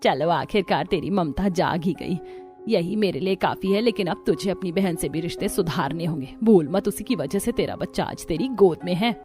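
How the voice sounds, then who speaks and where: A woman's voice: lowish voice, moderate pace, dark tone, faint music behind, natural voice. A woman voicing a caring but firm older mother-in-law in a family cartoon, admonishing in a motherly way. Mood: relieved, firm.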